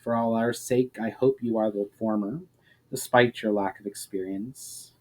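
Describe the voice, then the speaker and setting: A man voicing a candid, measured noblewoman in a fantasy story reading, speaking formally and frankly. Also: on the low side, steady tone, poor audio. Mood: cool, candid.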